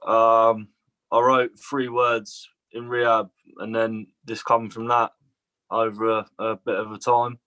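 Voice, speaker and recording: A man reads his own poem very slowly and with measured pauses. His voice is bright and forceful, recorded dry and close with no room echo. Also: fairly low pitch, little pitch movement. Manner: slow, measured reading. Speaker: poet.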